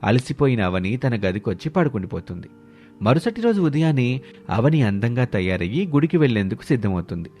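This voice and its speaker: A man's voice: mid-range voice, very animated, a little fast, warm tone. A man narrating a scripted family moral story as a storyteller. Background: background music.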